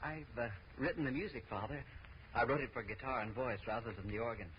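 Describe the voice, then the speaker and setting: An actor playing a humble, earnest village composer in an old-time radio drama. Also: male voice, medium pitch, fast talker, soft background music, lightly acted. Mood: earnest, polite. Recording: muffled old radio recording, faint noise.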